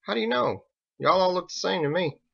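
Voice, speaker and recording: Man putting on a high, folksy, exasperated sailor. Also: animated, fairly quick, creaky, slightly rough, dull audio, exaggerated voice. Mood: skeptical. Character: folksy, wary sailor leader, gruffly protective.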